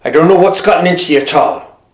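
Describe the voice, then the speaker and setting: A storyteller voicing a grumpy son-in-law who speaks low and curt. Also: fast talker, natural voice. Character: grumpy middle-aged Irish son-in-law. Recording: roomy echo.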